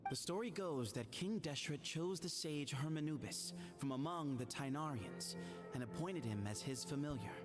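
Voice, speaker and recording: An actor playing a courteous, dignified young heir to a desert temple in a video game, speaking articulately. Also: male voice, medium pitch, lightly acted. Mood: friendly, storytelling. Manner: articulate, polite storytelling. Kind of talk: scripted video game dialogue. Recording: loud game music behind. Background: game soundtrack music.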